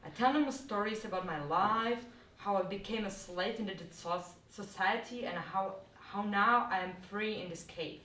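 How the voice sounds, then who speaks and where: A woman's voice: medium pitch, normal speed, few pauses, sharp tone. A woman reading a first-person literary text aloud at a live event in a bar. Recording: echoey, distant live recording with faint noise.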